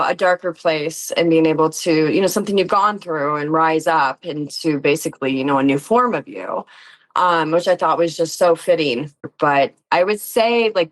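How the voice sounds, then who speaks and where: A woman talking casually and informally as an interview guest, thinking aloud as she goes. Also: on the low side. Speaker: podcast guest, game player, actor and musician.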